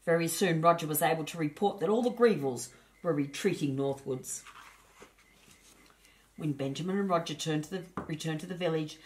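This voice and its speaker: A woman's voice: deep voice, normal speed, a little hiss or hum. A woman storyteller narrating a children's storybook.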